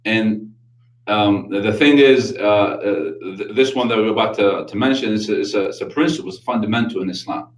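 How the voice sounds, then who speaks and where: A man's voice: lowish voice, normal speed, reverberant, rough recording. A man with a New York accent, raised in Brooklyn, hosting a casual religious talk show and explaining his faith earnestly with many Arabic religious phrases. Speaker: radio show host.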